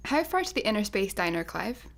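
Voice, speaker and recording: A woman's voice: mid-range voice, big pitch swings, a little fast, vocal fry, a bit bright. A woman playing a practical, matter-of-fact space fugitive in a sci-fi comedy radio play. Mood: brisk.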